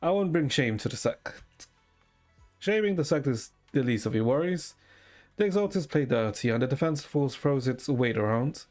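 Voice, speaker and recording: Male game streamer, medium pitch, reads on-screen dialogue aloud with casual asides over light game music. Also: expressive, normal speed, a little mellow. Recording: game music faintly behind.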